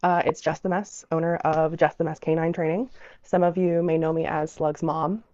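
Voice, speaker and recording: A woman's voice: deep voice, little pitch movement, moderate pace, mellow, no echo, dull audio. A young woman vlogging as a dog trainer, telling an emotional personal story. She sounds nasal and congested from crying and a cold, and she rambles with many fillers. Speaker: dog trainer and business owner.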